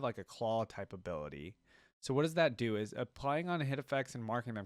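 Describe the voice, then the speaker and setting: A young man gives casual, thinking-aloud commentary as a video host. His voice sits at a medium pitch, and the sound is dry, with little room around it. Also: dry recording. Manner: informal, musing aloud.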